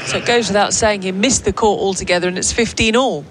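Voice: mid-range voice, fast, fairly bright tone. A live tennis commentator co-hosting a show, mixing point-by-point calls with playful, teasing banter. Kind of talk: live radio sports commentary mixed with casual banter. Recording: crowd and vehicle noise behind. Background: tennis crowd ambience.

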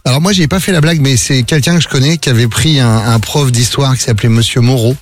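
A man's voice: mid-range voice, fast. A man hosting a morning radio show, telling stories in a chatty way with many hesitations. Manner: chatty storyteller, hesitant fillers. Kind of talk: casual radio banter. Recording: radio broadcast with faint music bed.

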